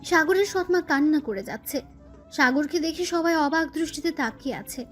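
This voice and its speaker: A woman's voice: high voice, moderate pace, fairly bright tone. A woman reading scripted narration for an audio story. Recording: music bed under the voice. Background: background music.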